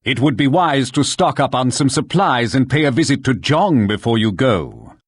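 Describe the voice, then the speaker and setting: Game town advisor: mid-pitched, lively intonation, courteous and formal. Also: male voice, normal speed, slightly rough, no echo. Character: helpful, measured male fantasy town advisor. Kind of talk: video game voice acting.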